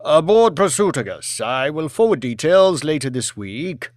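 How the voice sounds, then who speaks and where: A man's voice: on the high side, very animated, a little slow, no echo, studio quality. A man voicing a gracious, courteous lord in an audiobook, with a formal manner. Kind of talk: audiobook character acting.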